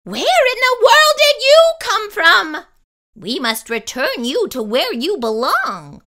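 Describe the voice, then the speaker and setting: A woman voicing an excitable, kindly elderly lady in a storybook, exclaiming in a squealing manner. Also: extremely high pitch, slow pace, voice pushed up, heavily acted. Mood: flustered scolding. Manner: squealing, exclamatory, scolding or cooing.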